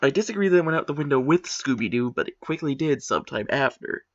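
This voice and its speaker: A man's voice: medium pitch, expressive, fast. Opinionated man, dull lo-fi audio. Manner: critical, matter-of-fact, with sarcastic asides. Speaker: commentator. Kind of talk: opinionated commentary on a blog post.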